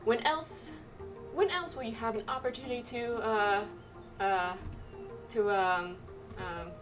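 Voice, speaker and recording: A woman's voice: on the high side, measured pace, pitched below the performer's own voice, lightly acted. A woman voicing a nervous, flustered young male astrologer in a game, hesitant and stammering. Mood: nervous sales patter. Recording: distant mic, game music behind.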